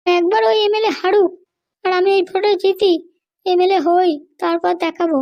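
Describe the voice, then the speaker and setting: A woman's voice: very high voice, fairly even intonation, normal speed, darkish tone. A woman voicing a haughty, threatening female political boss in a comedy cartoon, bossy and indignant with a childlike voice. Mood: vengeful. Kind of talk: Bengali comedy cartoon dialogue.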